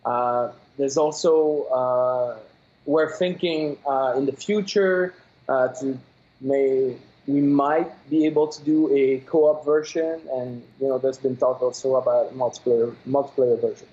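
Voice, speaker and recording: A man's voice: medium pitch, steady tone, dark tone. Quebecois man in his 40s, French first language, hesitant second-language English, muffled call audio. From Quebec, Canada. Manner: halting, searches for English words, many uh fillers. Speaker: game developer guest. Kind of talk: phone-in interview. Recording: dull lo-fi call line.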